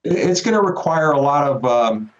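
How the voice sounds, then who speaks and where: A man's voice: a little high, expressive, moderate pace, lo-fi sound. A man speaking as a veteran pilot guest, explaining things conversationally over a remote connection.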